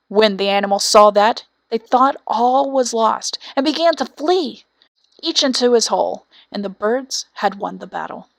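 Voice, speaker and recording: A woman's voice: medium pitch, vocal fry, dry recording. A woman reading a scripted children's fairy tale as an audiobook narrator.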